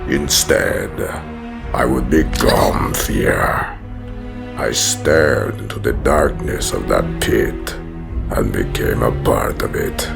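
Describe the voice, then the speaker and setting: A man playing a brooding, menacing prison-hardened villain who narrates his origin in a gravelly, solemn, deliberate voice for a comic dub. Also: rumbling low, exaggerated voice. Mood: grim, resolute. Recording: music behind the voice.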